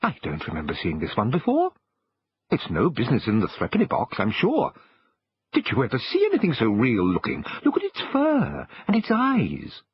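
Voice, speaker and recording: A brisk English shop worker from a storybook , played by a man, puzzled and exclamatory. The husky voice swings widely in pitch on a slightly dull recording. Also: wildly swooping pitch, heavily acted. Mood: puzzled, admiring.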